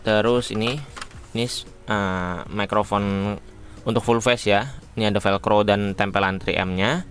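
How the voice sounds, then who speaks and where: A man's voice: fairly low pitch, steady tone, unhurried, fairly bright tone, a little hiss or hum. A man, chatting informally to viewers as he reviews motorcycle gear. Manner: informal, addresses viewers as friends. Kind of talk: casual unboxing and review chat.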